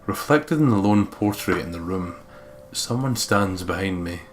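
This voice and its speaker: Man, low voice, game host, reading visual novel narration, slight background noise. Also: animated, normal speed. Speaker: let's play host.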